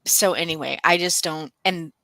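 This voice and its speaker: Casting: middle-aged woman, bright but crackly voice, halting conversational storyteller. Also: deep voice, no room sound. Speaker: co-host of a book readalong livestream. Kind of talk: casual chat, personal storytelling.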